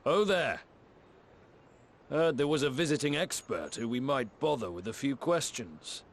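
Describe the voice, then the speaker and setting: A middle-aged actor playing a friendly, hearty researcher in a game cutscene. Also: male voice, mid-range voice, expressive, measured pace, slight background noise.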